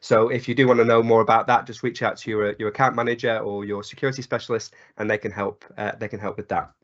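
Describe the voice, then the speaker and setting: Hoarse man presenting a webinar, slightly dull audio. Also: on the low side, fairly even intonation, quick pace. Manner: many ums and uhs, restarts. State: hoarse from a cold.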